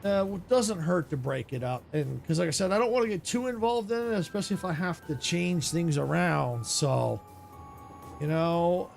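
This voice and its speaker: A tired man with a raspy voice, chatting casually and muttering to himself while streaming a building game. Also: fairly high pitch, normal speed. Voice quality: raspy, gravelly. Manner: mutters to himself, self-critical, rambling. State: tired, yawning. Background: game music.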